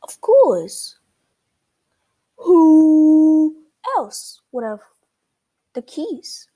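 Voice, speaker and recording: A woman's voice: high voice, sing-song, very slow, mellow, voice pushed up, full character voice. A woman voicing a fussy, emphatic owl in a children's storybook, often questioning. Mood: emphatic, surprised. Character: fussy, emphatic owl witness at a cake contest.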